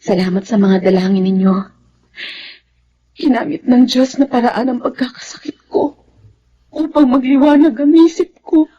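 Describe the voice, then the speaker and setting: A woman playing an ailing, remorseful older sister in a Filipino radio drama, with a weak, breathy voice. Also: a little high, normal speed, long pauses, dark tone, dull audio, natural voice. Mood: remorseful, tearful. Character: sick, remorseful older sister, once greedy, now humbled.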